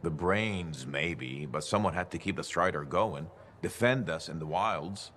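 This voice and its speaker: An actor playing a gruff older veteran mercenary pilot in a video game, boastful and good-humoured. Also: male voice, low voice, a little slow, a bit bright, a little hiss or hum. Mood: boastful, joking. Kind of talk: video game dialogue acting.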